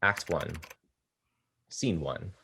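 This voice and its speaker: A man calmly reading stage directions aloud at a play table read. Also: low voice, very slow, vocal fry, a little hiss or hum. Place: art exhibition theater area.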